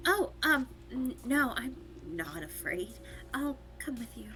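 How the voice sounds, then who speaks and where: Slow, timid Ethel, voiced by a woman. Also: sits high, very animated, sharp tone, heavily acted. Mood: nervous, hesitant. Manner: hesitant, halting. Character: Ethel, timid uneasy schoolgirl with plaits, hesitant. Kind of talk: live group reading of a story. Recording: music behind the voice.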